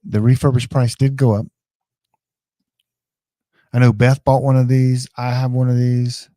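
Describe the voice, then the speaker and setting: A man's voice: medium pitch, level tone, measured pace, dark tone, no room sound. A man with an American accent hosting a casual live stream, rambling through tech advice.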